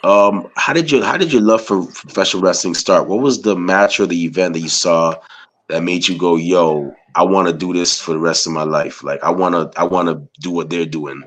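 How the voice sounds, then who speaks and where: A man from Guyana with a very low voice hosts a casual interview. He rambles his way into each question, padding it with "you know" and "man". He sounds easygoing and chatty. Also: normal speed, fairly bright tone. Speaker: interviewer, podcast host.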